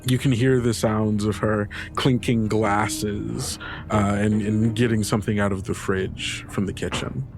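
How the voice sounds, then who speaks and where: A man with a low voice narrates a tabletop story as game master. He is measured and descriptive, leaving dramatic pauses between phrases. Music plays clearly behind him. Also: fairly even intonation. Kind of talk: atmospheric narration of a tabletop roleplay.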